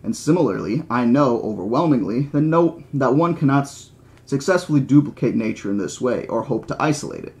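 A man reads the part of a mathematician in a novel, certain of himself and condescending. He lectures at a middle pitch, didactic and flatly insistent, as in an audiobook. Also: fairly quick, natural voice. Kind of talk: audiobook character reading.

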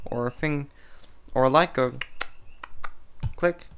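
A man's voice: medium pitch, slow, a bit bright, clear, resonant tone. A young man reading a picture book aloud as a story time host, stumbling as he goes, with sound effects and playful asides to a puppet. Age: young adult. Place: small room indoors.

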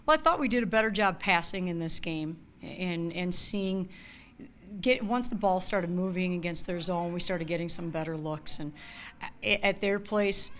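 Woman in her forties, head coach, low voice, measured and analytical, table mic, faint hiss. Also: fairly bright tone. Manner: measured, analytical, repeats key words. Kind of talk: postgame press conference answers. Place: press conference room, seated at table. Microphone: table mic in front.

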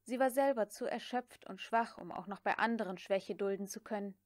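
A woman's voice: mid-range voice, a little fast, no room sound, clean studio sound. A woman reading literary prose as an audiobook narrator.

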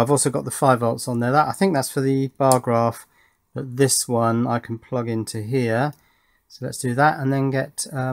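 A man's voice: medium pitch, no echo, studio quality. A middle-aged man giving casual, matter-of-fact hands-on narration as an electronics hobbyist, musing aloud as he goes. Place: indoor home workbench.

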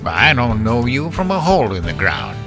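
A man voicing a hardened, weary old farmer with a gruff, curt, folksy manner in an audio horror story. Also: lowish voice, expressive, unhurried, over music. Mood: curt, threatening.

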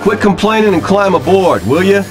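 Cocky falcon ace: high, animated, sarcastic, brash, game cutscene over loud music. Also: male voice, normal speed, poor audio. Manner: brash, wisecracking. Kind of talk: video game cutscene acting.

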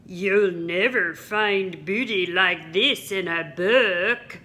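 A woman's voice: medium pitch, slow, pushed and bright, a little hiss or hum. A woman voicing a boastful male pirate bunny in a storybook read-aloud.

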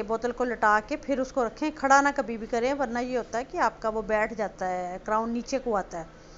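A woman from Punjab, Pakistan, casually explaining cake decorating step by step in a homely, chatty way. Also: a little high. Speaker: home baker teaching cake decorating. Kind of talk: casual voice-over tutorial. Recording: slightly muffled voice-over with faint noise.

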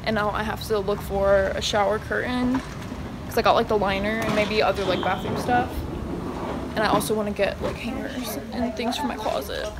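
A woman's voice: mid-range voice, fairly even intonation, normal speed. A young woman talking casually into her phone camera while shopping and riding in a car, with lots of "like" fillers. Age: young adult. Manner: chatty, filler-heavy, lots of "like". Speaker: vlogger. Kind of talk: casual shopping vlog chat. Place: in a car and in stores. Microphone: phone camera at arm's length. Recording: loud road and street noise.

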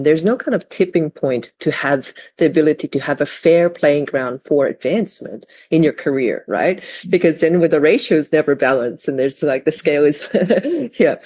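A woman's voice: sits low, a little mellow, highs cut off. A woman hosting an online panel on a headset, affirming the speakers and asking questions in a conversational way. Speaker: panel host. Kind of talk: moderating a panel discussion. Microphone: headset on video call.

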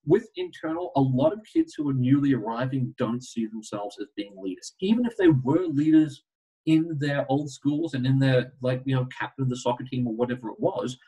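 A middle-aged man presents to a webinar over a video call, his voice a little husky. He tells stories with animated asides. The mic sits some distance away, so he sounds slightly far off. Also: medium pitch, fairly quick.

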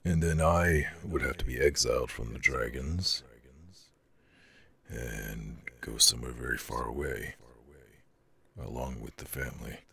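A man voicing a huge, shy but proud dragon in an ASMR roleplay, with a growling, raspy voice and hesitant, stammering delivery. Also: fairly even intonation, a little slow, long pauses, sharp tone, full character voice. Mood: hesitant, earnest. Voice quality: very deep, gravelly growl with breathy rasp.

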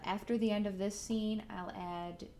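A young adult woman hosting a casual software tutorial, explaining each step from a desk in a room. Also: medium pitch, fairly even intonation, normal speed, a little hiss or hum. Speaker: tutorial host.

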